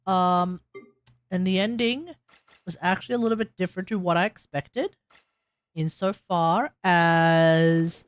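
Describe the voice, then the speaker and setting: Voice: medium pitch, a little hiss or hum. A streamer with an Australian accent talking casually through a game live stream, rambling and often correcting themselves. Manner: rambling, self-correcting, chatty.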